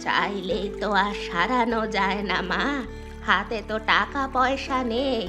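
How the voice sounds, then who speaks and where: A woman's voice: medium pitch, unhurried, music in the background, natural voice. A woman voicing a poor, worried old village woman in a cartoon fairy tale, plaintive and lamenting. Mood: worried, weary. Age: older.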